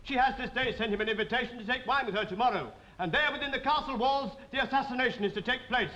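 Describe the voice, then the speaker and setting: Casting: excitable, obsequious court tailor, very high bright voice, gushing period-comedy delivery. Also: male voice, quick pace. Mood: breathless alarm. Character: fussy, fawning, excitable royal tailor. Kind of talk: theatrical period comedy acting. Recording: dull, echoey, noisy recording with crowd.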